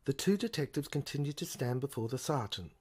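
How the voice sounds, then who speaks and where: A woman narrating a scripted audio play in a deliberate, theatrical storytelling manner. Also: very deep voice, lively intonation, mellow, slightly rough.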